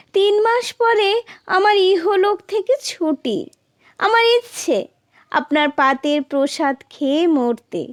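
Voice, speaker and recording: Wily courtier from a folktale, performed by a woman: flattering, falsely humble, unhurried delivery, very high pitch. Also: no echo, studio quality, heavily acted. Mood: mock sorrowful. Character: wily male trickster courtier, feigning humble piety.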